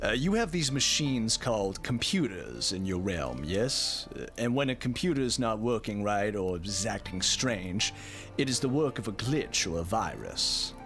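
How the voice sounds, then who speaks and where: A man playing a stern, formal high councilman of a dream realm in an audio drama, speaking in a measured, official way. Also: sing-song, fairly bright tone, faint music behind, full character voice. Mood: grave, explanatory.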